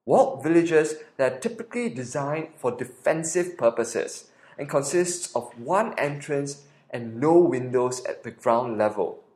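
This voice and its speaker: A man's voice: medium pitch, animated, measured pace, a little mellow, roomy sound. A man with a Singaporean accent, hosting a podcast and narrating a scripted audio walking tour, guiding the listener step by step. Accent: Singaporean English.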